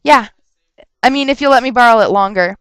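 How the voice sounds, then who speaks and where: A young woman rambling casually on a webcam vlog, chatty and quick to laugh and rant, her nose stuffed up from a cold. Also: fairly high pitch, a bit bright, smooth, no room sound, clean studio sound. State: stuffed up with a sore throat. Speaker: vlogger answering viewer questions.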